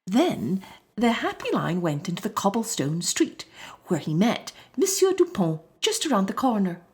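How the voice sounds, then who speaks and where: A woman narrating a children's story from a script in an expressive, deliberate storyteller's manner. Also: medium pitch. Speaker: storybook reader.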